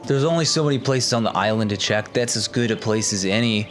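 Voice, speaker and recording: A man playing in a tabletop game, thinking out loud with some hesitation. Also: fairly low pitch, fairly quick, soft background music. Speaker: player. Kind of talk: tabletop roleplay chat.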